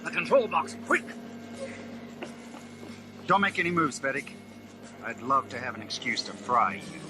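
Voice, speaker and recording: A man's voice: medium pitch, very animated, a little slow, music in the background. A man playing a brash, wisecracking space adventurer in an audio drama, speaking with urgency. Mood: urgent, defiant. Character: brash heroic space adventurer rescuing the Emperor.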